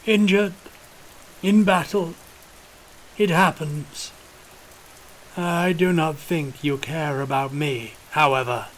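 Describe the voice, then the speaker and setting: Man voicing a halting, timid young woman. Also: a little high, fairly even intonation, pitched above the performer's own voice, poor audio. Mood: guarded. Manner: halting, murmuring, unused to talking. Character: timid, hungry young woman, a mud-world scavenger.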